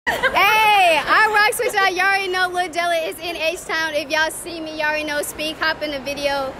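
A woman's voice: very high-pitched, steady tone, normal speed, noisy background. Hyped young woman interviewing in a mall. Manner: hyped, repeats catchphrases. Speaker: street interviewer host. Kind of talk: casual public interview. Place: shopping mall indoors. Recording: busy mall with music and crowd.

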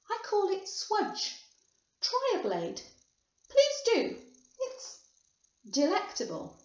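An eccentric, boastful candy maker from a storybook, acted out by a woman. The pitch is high and swoops with theatrical emphasis. It is heard in an echoey room. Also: heavily acted. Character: eccentric, excitable, boastful male candy factory owner. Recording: muffled, boxy echoing room.

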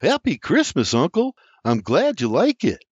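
A man's voice: fairly high pitch, huge pitch swings, measured pace, breathy edge, no room sound, voice pushed up, exaggerated voice. A man voicing an earnest, kind young farm lad. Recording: slightly muffled dry recording.

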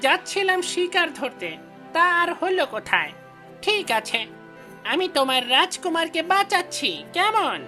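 Grumpy helpful jackal, cartoon animal, really squeaky high, music behind. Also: female voice, sing-song, moderate pace. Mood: grudging, annoyed. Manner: grumbling. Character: grumbling but kind talking jackal, reluctant helper. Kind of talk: cartoon fairy-tale voice acting.

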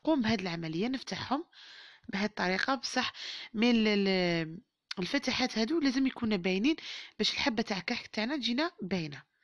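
Hoarse Algerian woman, chatty and quick. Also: medium pitch, animated, no echo, clean studio sound. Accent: Algerian Arabic. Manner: chatty, warm-hearted blessings and advice to viewers. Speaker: cooking channel host. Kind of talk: home cooking tutorial voice-over.

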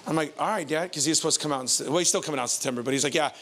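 A man in his forties with an American accent preaching an informal, animated, conversational sermon into a handheld microphone on a church stage. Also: on the high side, very fast. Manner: conversational, animated, colloquial, repeats phrases for emphasis. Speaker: pastor.

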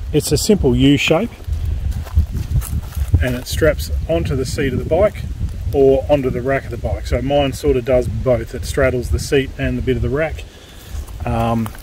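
A man's voice: mid-range voice, slight background noise. A man giving a casual, plain-spoken gear review of his motorcycle luggage outdoors, with plenty of ums and uhs. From Australia. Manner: relaxed, plain-spoken, explaining with fillers. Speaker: motorcycle vlogger. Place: outdoors on dirt beside a motorbike.

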